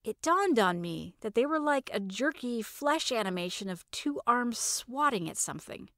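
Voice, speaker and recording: A woman with a fairly high voice narrates a first-person story. The delivery is scripted audio fiction, recorded close and dry with very little room sound. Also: big pitch swings, normal speed, fairly bright tone, no echo.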